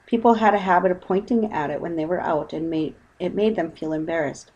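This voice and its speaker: A woman narrates a children's storybook. Her voice sits a little low as she reads the scripted tale. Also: a little fast, a little mellow, lo-fi sound, a little hiss or hum. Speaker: audiobook narrator.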